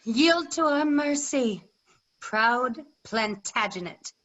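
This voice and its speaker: A woman's voice: a little high, animated, a bit bright, full character voice. A woman playing a cruel, vengeful warrior queen in a staged reading of Shakespearean verse, mocking and declamatory. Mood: commanding. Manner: taunting, declamatory, deliberate. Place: online video call. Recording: muffled video-call audio.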